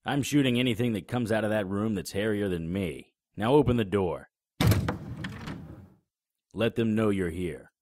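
An actor in an audio drama playing a cynical, sardonic, hard-boiled private investigator with a terse delivery. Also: male voice, on the low side, moderate pace, long pauses, a little mellow, lightly acted. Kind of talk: audio drama acting with noir narration.

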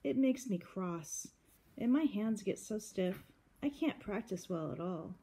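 A woman reading the part of a shy, gentle young girl from a storybook, in a plaintive manner. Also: medium pitch, expressive, normal speed, breathy edge. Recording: noisy home recording with clicks.

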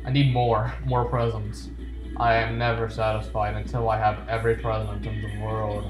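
A man's voice: lowish voice, little pitch movement, normal speed. A man giving playful, joking commentary while playing a game, reading on-screen text aloud. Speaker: gamer. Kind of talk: let's play commentary. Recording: roomy echo with game music. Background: game music.

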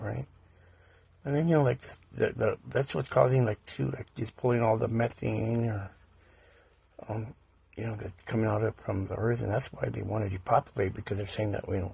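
Male casual narrator, hoarse and gravelly, halting delivery with rhetorical questions, dry close sound. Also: medium pitch, mellow, dry recording. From United States. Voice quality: raspy. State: hoarse after a recent stomach virus. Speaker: video narrator. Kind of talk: casual rambling commentary.

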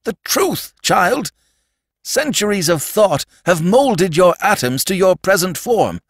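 Man voicing a frantic, high-pitched frightened alien being. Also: big pitch swings, slow pace, breathy edge, no echo, studio quality, exaggerated voice. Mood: frightened pleading. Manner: frantic, pleading, gasping outbursts. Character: terrified shapeshifting alien mistaken for the Sandman, pleading.

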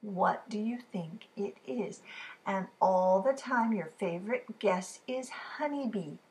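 A woman in her fifties reading riddle poems aloud for a children's storytime, expressive and deliberate, with chatty asides to the listeners. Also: mid-range voice. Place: living room at home, indoors. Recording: clearly noisy room recording.

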